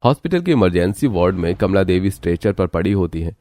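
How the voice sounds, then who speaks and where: Male narrator for scripted storytelling, very low register. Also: very animated, fast, dark tone, no echo.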